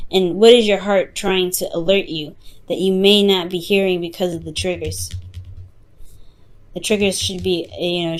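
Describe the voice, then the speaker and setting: Woman giving an unscripted tarot reading. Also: fairly low pitch. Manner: halting, repeats and rephrases phrases, earnest and reassuring. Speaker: tarot reader, host. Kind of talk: unscripted tarot card reading, addressed to the listener.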